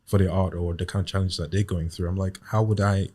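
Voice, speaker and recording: A man's voice: deep voice, steady tone, darkish tone. A man from Ghana, a voice artist, talking reflectively as a guest in a casual podcast chat. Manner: reflective, measured.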